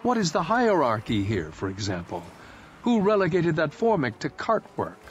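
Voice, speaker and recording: A man's voice: fairly high pitch, huge pitch swings, moderate pace, highs cut off, a little hiss or hum, pitched above the performer's own voice. A man voicing an insistent young woman soldier. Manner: insistent, argumentative.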